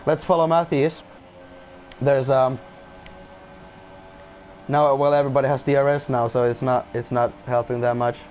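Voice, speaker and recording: A man's voice: mid-range voice, little pitch movement, normal speed, long pauses, highs cut off, soft background music. A man who is not a native English speaker commentating casually on an online race, often restarting sentences and apologizing. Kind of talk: casual live sim-racing commentary.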